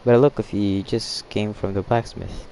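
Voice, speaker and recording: Man, low mellow voice, halting game playthrough, reading aloud, faint noise behind. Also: fairly even intonation, creaky. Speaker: gaming streamer.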